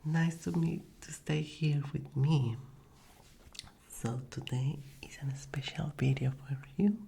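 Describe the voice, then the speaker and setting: A man whose first language is Spanish whispers softly through a slow, unhurried lesson. His low voice has a gravelly, mellow grain. He often pauses and hesitates, speaking close to a condenser mic. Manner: soft whispering, hesitant with fillers. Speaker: ASMR creator teaching Spanish. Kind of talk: soft-spoken ASMR lesson. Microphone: Blue Yeti condenser mic.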